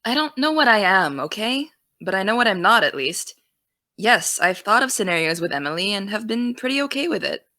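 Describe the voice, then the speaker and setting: A young actress playing an earnest high school girl who wants to be a journalist, defensive and dry. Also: big pitch swings, fairly quick, pushed and bright, no echo. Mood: guarded. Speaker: student podcast host. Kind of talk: audio drama.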